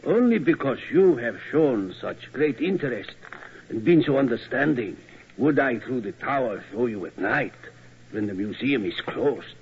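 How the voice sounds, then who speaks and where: An actor playing a sinister, mad museum curator, speaking in slow, oddly ordered phrases, in 1940s radio acting. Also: male voice, medium pitch, expressive, slight background noise. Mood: sinister courtesy. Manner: slow, halting foreign word order, cackling menace. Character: mad old German museum curator, sinister host turned gloating villain. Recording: dull old radio broadcast.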